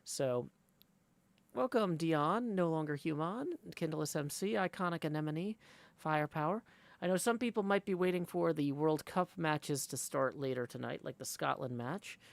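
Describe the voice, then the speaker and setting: A man hosting a live game stream in a casual, rambling way, with a creaky voice. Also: fairly high pitch, moderate pace, no echo. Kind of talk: casual livestream chat.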